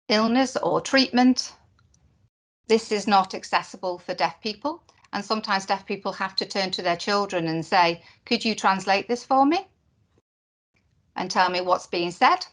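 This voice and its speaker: A woman voicing a deaf presenter's signed conference talk into spoken English, in short phrases with pauses and fillers. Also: on the low side, fairly bright tone. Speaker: sign language interpreter voicing a deaf presenter. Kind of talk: live voiced interpretation of a conference talk.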